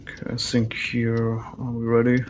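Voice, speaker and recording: A man's voice: mid-range voice, monotone, unhurried, slight background noise. A man hosting a let's play, giving casual commentary on the game as he plays.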